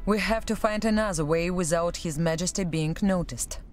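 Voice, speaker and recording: A woman's voice: on the low side, moderate pace, soft background music, natural voice. A woman playing a loyal, resolute military officer in a video game, speaking in an urgent, commanding, earnest way. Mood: measured. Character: loyal, resolute female military officer and former security chief. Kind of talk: video game cutscene acting.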